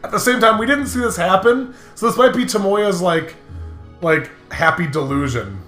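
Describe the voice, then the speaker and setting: A man's voice: high-pitched, expressive, moderate pace, a bit bright. A man co-hosting a game show in casual, profane chat, explaining things at length in a rambling way. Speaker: co-host of a gaming playthrough show. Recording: roomy echo with music behind.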